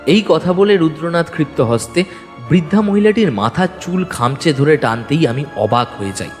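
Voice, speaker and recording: A man's voice: fairly high pitch, animated, normal speed. A man narrating a detective audio story in the first person, suspenseful and dramatic. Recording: long reverb, music bed. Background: music.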